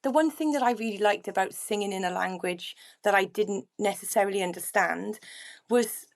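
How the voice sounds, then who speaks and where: A middle-aged woman speaks as a podcast guest, at an ordinary pitch for her age. She tells her story earnestly and reflectively, in a relaxed, conversational way. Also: moderate pace. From Wales. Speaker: guest singer-songwriter. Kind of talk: casual interview chat.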